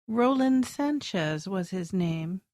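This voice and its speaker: An actress playing a sultry, sardonic femme fatale in a noir audio drama, on a noisy recording. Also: female voice, mid-range voice, lively intonation, takes it slowly, a little mellow, no room sound, clean studio sound. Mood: matter-of-fact. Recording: noisy track with clicks.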